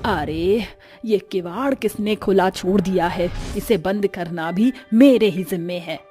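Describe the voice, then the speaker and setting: Lady doing a fretful old saas in a cartoon story, slow n dramatic, faint music behind. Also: medium pitch, warm tone, lightly acted. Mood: grumbling. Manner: complaining, dramatic, drawn-out delivery. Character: frightened grumbling old mother-in-law in a horror folktale. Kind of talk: Hindi cartoon story voice acting.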